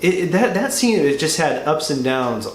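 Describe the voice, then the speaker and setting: A man in a casual movie discussion, feeling out his points with hesitant, halting phrasing. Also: a little high, big pitch swings. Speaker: film reviewer. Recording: echoey room with faint noise.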